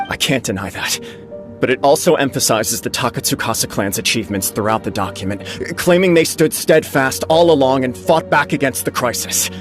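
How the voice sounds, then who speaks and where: Man playing an earnest young noble heir, medium pitch. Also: brisk, faint music behind, lightly acted. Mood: indignant, firm. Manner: earnest, formal, resolute. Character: earnest, dutiful young heir of disgraced clan. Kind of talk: dramatic video game voice acting.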